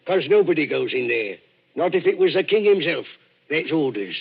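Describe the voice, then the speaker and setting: A blunt theatre attendant, gruff and slangy with a husky, somewhat raised voice. He is rough-mannered but deferential. The recording is muffled and lo-fi, like an old radio broadcast. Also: fairly high pitch, sing-song, moderate pace, heavily acted. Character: rough working-class London theatre attendant, blunt and loyal. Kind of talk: 1940s radio acting.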